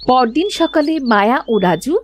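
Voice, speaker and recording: A woman's voice: sits high, lively intonation, moderate pace, over music. A woman giving scripted narration for a children's cartoon story.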